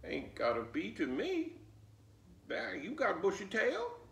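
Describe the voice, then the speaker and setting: Man putting on a sassy high-pitched little country girl. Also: huge pitch swings, a little slow, gravelly, fairly bright tone, heavily acted. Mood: unconvinced. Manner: matter-of-fact folksy drawl, skeptical, teasing. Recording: roomy, echoing, distant microphone.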